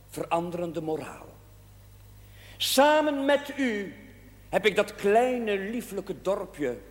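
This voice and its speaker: A solemn village priest preaches from the pulpit in a high voice. He speaks slowly and rhetorically, weighing each phrase, as in a period television drama. The sound rings through a long, echoing hall. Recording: echoey church-like hall with hiss.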